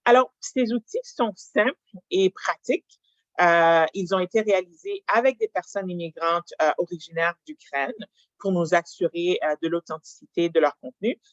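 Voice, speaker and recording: A dry, muffled online recording of a webinar moderator. The delivery is formal and measured, hesitating often with drawn-out pauses, in a middle-pitched voice. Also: a bit bright, no echo. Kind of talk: formal presentation, introducing panelists. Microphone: video call. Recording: dull lo-fi online call audio.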